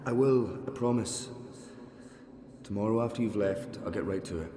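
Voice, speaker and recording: An actor playing a tender, earnest, protective young father in a video game cutscene, with a gentle, reassuring manner. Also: lowish voice, moderate pace, reverberant, background noise, natural voice. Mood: reassuring, subdued.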